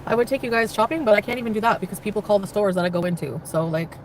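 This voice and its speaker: A woman's voice: mid-range voice, racing, a little hiss or hum, low-quality recording. A woman chatting casually on a live stream and reading out viewer comments.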